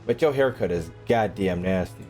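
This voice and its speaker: A man's voice: low voice, animated, moderate pace, darkish tone. A man doing casual gameplay commentary as a streamer, slangy and sweary, often repeating his phrases. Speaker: gaming streamer. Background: game music.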